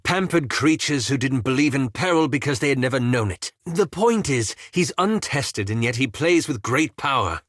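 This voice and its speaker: A man's voice: mid-range voice, animated, moderate pace, few pauses, fairly bright tone, no room sound. A man playing a cautious, bookish wizard companion in a fantasy video game, speaking formally and politely with dry, fussy reproach. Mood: wary, disapproving. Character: refined, bookish, cautious male wizard companion, easily exasperated.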